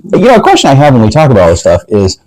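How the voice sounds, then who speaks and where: Male host, folksy and chatty, relaxed dark tone, dry close sound, casual tasting-show interview. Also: medium pitch, very fast, no echo, poor audio.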